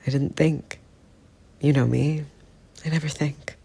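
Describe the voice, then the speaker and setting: A man's voice: fairly low pitch, moderate pace, gravelly, a little mellow, breathy edge. A man voicing a defiant, guilt-ridden teenage boy who talks defensively and stammers when upset. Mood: remorseful.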